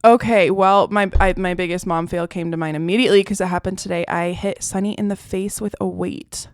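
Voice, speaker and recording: A woman's voice: fairly low pitch, fairly even intonation, brisk, dry recording. Chatty woman podcast co-host, dry sound. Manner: chatty, many fillers, bursts of laughter. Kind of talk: casual podcast chat.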